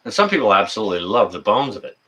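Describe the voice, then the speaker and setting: Male, 50s, deep but forward and bright voice; folksy, rambling, unscripted livestream chatter with a halting flow. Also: very animated. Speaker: livestream host. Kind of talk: casual livestream chat.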